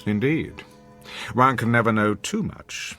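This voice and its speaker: A man's voice: mid-range voice, a little slow, fairly bright tone, faint music behind, natural voice. A man playing a domineering, sarcastic wealthy husband in a radio drama, speaking with haughty, formal diction. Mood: clipped, insinuating. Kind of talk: classic radio drama acting.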